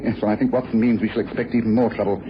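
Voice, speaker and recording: Brisk, theatrical detective played by a man, noisy old recording. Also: on the low side, fairly even intonation, dull audio, close to an everyday voice. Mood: smugly assured. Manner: precise, didactic, theatrical delivery. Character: brilliant, commanding, self-assured male detective. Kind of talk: old-time radio drama acting.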